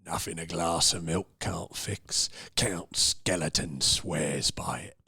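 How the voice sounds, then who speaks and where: A creepy Hungarian count in a comic radio play. The voice is very low and husky, recorded dry and close. He speaks slowly and formally, with ominous courtesy. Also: bright, forceful tone, no room sound, full character voice. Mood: suavely sinister. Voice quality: low, gravelly. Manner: slow, ominous, formal, speaks of himself in third person. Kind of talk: old-time radio theatre comedy acting.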